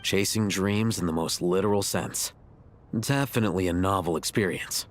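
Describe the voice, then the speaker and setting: An actor playing a cool, rational scholar in a video game, blunt, deadpan and matter-of-fact. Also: male voice, deep voice, normal speed, slight background noise, lightly acted. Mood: dry. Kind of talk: video game dialogue acting.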